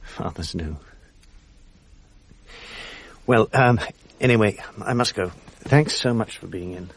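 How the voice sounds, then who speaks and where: An actor playing a guilt-ridden, self-loathing English husband in a radio drama, educated and apologetic, with a gravelly voice. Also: male voice, on the low side, full character voice. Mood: subdued, apologetic. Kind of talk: radio drama acting, dialogue and first-person narration. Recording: 1980s radio drama with faint music.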